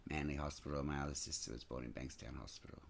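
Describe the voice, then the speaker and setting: A man who grew up in Sydney, an entertainer telling stories in an interview in a lively, expressive way, with frequent asides. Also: extremely low pitch, fast, gravelly. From Scotland, raised in Sydney. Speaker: stage entertainer and show producer. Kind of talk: chatty interview storytelling.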